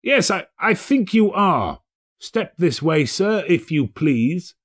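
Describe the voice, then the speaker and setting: A man's voice: high voice, big pitch swings, takes it slowly, voice pushed up, heavily acted. A man voicing a meek male clerk in a storybook, apologetic, hesitant and deferential to his master. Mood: nervous, pleading. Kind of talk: dramatic storybook character reading.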